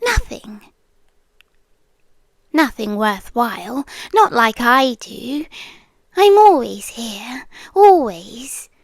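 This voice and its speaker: Woman playing a slow, high-voiced British vampire girlfriend. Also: big pitch swings, dry recording, full character voice. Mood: resentful, possessive. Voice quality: breathy, often whispered. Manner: slow, lingering, teasing menace. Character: possessive, doting yandere vampire girlfriend, eerily sweet. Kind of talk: intimate ASMR roleplay. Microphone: close microphone, dry.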